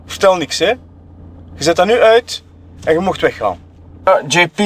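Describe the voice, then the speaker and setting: A man with a Flemish accent playing a chatty, self-excusing witness in a murder-mystery audio drama, talking colloquially and haltingly. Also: on the high side, lightly acted. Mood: annoyed, firm. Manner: colloquial, halting, chuckling between phrases. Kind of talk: improvised-sounding audio drama dialogue.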